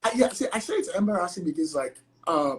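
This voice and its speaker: A young man with a thick Nigerian accent, a lawyer talking as an interview guest on a video call, animated and full of fillers. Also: high-pitched, quick pace, slight background noise. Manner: animated, chatty, many fillers like "like" and "you know". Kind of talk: casual interview conversation.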